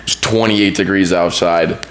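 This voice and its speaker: A man's voice: deep voice, steady tone, unhurried, fairly bright tone. A man in his twenties from Texas, a podcast guest chatting casually into a podcast mic, laid-back and rambling, often trailing off and restarting. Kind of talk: casual chat. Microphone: podcast microphone.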